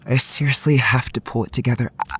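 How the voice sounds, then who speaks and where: A man's voice: mid-range voice, fairly even intonation, fairly quick, a bit bright, breathy edge, soft background music. A man playing a lovestruck, anxious young college student, narrating his inner thoughts intimately in an audio drama. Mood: frustrated, smitten. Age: young adult.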